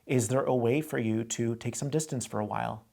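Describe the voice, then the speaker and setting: Gravelly-voiced guy coaching a caller, blunt and direct, keeps repeating himself. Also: medium pitch, fairly quick, mellow. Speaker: host giving advice. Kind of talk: call-in advice show.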